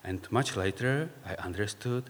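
A man with a Czech accent, speaking English as a second language, gives a reflective personal faith talk in halting, searching phrases. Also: on the low side, a little hiss or hum. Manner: halting, searching for words, non-native phrasing. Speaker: speaker sharing faith story. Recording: long reverb, hall-like.

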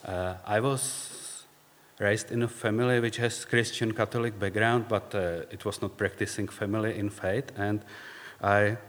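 A man with a Czech accent, speaking English as a second language, gives a reflective personal faith talk in halting, searching phrases. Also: fairly low pitch, fairly even intonation, fairly bright tone. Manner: halting, searching for words, non-native phrasing. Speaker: speaker sharing faith story. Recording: long reverb, hall-like.

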